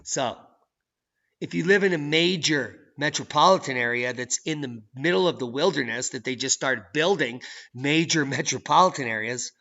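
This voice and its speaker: A man hosting a channel, reading news and weather maps with sarcastic, profane commentary that swings from low mutters to loud outbursts. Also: normal speed, muffled recording. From United States. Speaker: channel host.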